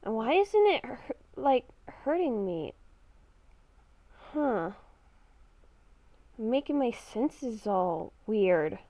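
A woman's voice: on the high side, very animated, drawn-out words, pauses often, mellow, dry recording. A woman improvising in-character narration while playing a game, thinking aloud and repeating her phrases. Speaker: gamer playing a roleplay character. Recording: dull, lo-fi microphone.

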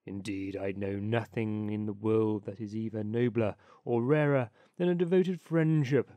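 A man's voice: fairly low pitch, moderate pace, dark tone, no echo, voice pushed down, lightly acted. A man voicing a pompous, selfish old male water rat in a storybook reading, haughty and self-satisfied.

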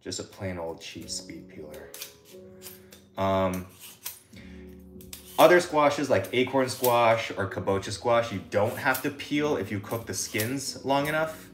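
A man in his thirties chats loosely through a home cooking stream, his voice sitting low and easy. He rambles with plenty of "like" and "um" while the camera sits a few feet off. Music plays clearly underneath him. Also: takes it slowly. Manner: relaxed, rambling, lots of "like" and "um". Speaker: cooking livestream host. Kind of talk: casual cooking livestream chat. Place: home kitchen, indoors. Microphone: camera set a few feet away.

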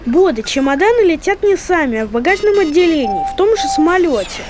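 A woman's voice: very high-pitched, very animated, brisk, loud music behind the voice. A woman voicing a small, cute, earnest childlike creature in a children's cartoon. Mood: earnest, worried.

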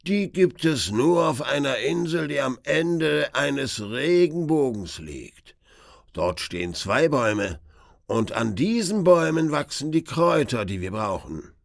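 A man playing a solemn father and herbal healer in a children's audio play, speaking deliberately. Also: medium pitch, very animated, vocal fry, no room sound, clean studio sound.

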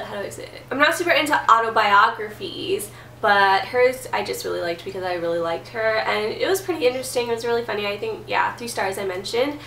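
A woman's voice: mid-range voice, sharp tone. A young woman in her twenties with a stuffy cold, chatting casually about books from her bedroom, with lots of "like" and "um". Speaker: book vlogger. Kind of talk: casual chat. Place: bedroom, indoors. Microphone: camera mic at a distance. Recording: roomy, a bit distant, faint hiss.

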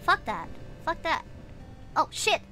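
A woman's voice: extremely high pitch, big pitch swings, slow pace. A young woman with a non-native English accent streaming a horror game, chatting with her viewers and shrieking and giggling when startled. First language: not English. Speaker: virtual streamer. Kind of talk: live gameplay commentary reading chat. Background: game music.

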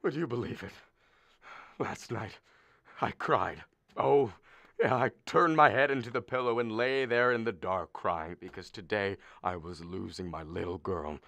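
A man acts out a middle-aged father of the bride in a radio play. He is blustering, sarcastic and argumentative, swinging widely in pitch with a bright, forceful edge, recorded close and dry. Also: no room sound. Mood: maudlin. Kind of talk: stage comedy performed as a radio play.

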